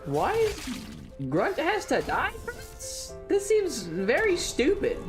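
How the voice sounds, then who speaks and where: A woman's voice: high voice, wildly swooping pitch, slow pace, music in the background. A woman streamer giving casual, reactive commentary on a video game, playful and slangy with some swearing.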